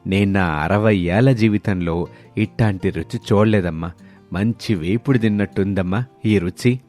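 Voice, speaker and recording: A man voicing an expressive village father in his sixties in a story. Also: lowish voice, moderate pace, faint music behind, pitched below the performer's own voice, natural voice. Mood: delighted. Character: worried sixty-year-old village father, plain-spoken and expressive.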